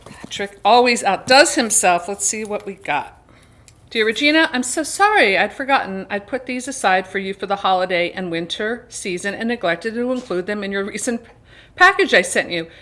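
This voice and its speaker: Female book vlogger, mid-range pitch, chatty, expressive, informal personal delivery. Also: normal speed. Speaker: book vlog host. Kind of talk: casual personal vlog chat, some reading aloud.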